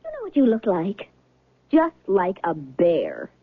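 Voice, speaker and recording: A woman's voice: on the low side, huge pitch swings, a little slow, slightly rough, no room sound, heavily acted. Breezy female airline clerk, phone-like sound. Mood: teasing. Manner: breezy, matter-of-fact, dryly teasing. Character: unflappable, teasing female airline counter clerk. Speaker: radio drama actor. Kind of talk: 1970s radio drama acting. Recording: muffled, phone-like old radio broadcast.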